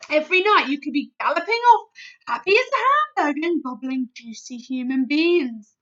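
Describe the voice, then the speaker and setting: A woman's voice: sits high, lively intonation, normal speed, fairly bright tone, highs cut off. A woman voicing a huge bloodthirsty male giant who bellows threats, in a storybook reading. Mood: bellowing.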